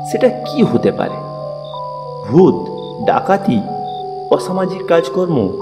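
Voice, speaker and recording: A man tells a first-person tale as a dramatized narration. His mid-range voice is soft-edged and mellow, expressive yet measured. It carries a long reverb, with faint music underneath. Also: mid-range voice. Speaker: narrator of audio story.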